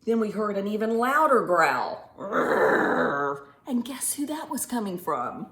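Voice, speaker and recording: A woman reading a picture book aloud to young children in an animated, teacherly way, pausing to ask simple questions and stretching words for emphasis. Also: mid-range voice. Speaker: children's storytime reader and teacher. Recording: roomy echo with faint background noise.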